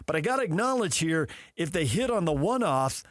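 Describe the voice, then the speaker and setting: An American man talks as a sports radio host in a fairly high voice. He argues off the cuff, stacking repeated phrases to build a point. The sound is dry, with no room on it. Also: sing-song, a little fast, no room sound. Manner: builds points through repetition. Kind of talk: unscripted sports talk debate.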